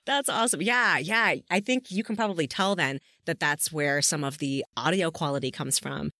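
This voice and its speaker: Enthusiastic, slightly low-voiced woman podcast host. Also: normal speed, bright, forceful tone, no echo, studio quality. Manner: enthusiastic, effusive, informal. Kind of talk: casual conversational interview.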